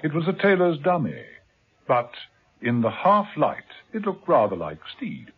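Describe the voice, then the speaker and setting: A man narrating a radio drama in a measured, vivid storytelling manner. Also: on the high side, huge pitch swings, husky. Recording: tinny old radio broadcast.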